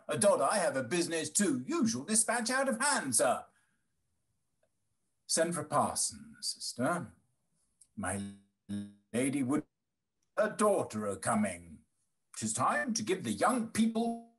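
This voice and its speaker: A man acts a testy old gentleman in a period comedy. He blusters and fusses in a slow delivery, with pitch that swings wildly up and down. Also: wildly swooping pitch. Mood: blustering, impatient. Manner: fussy, exclaiming, stammering. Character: blustering, hurried old gentleman, a stern father. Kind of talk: period stage comedy read aloud. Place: indoors, on a video call.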